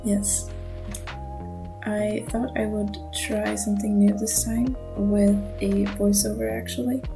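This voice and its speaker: A young woman with a non-native English accent gives a casual, nervous voiceover over her drawing, speaking in a monotone and mumbling a little, with frequent small laughs. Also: medium pitch, takes it slowly, creaky. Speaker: art channel creator. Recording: music playing underneath.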